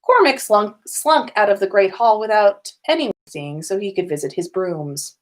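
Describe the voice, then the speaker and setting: A woman's voice: medium pitch, animated, normal speed. A woman podcast host reading fan fiction aloud in an informal storytelling style.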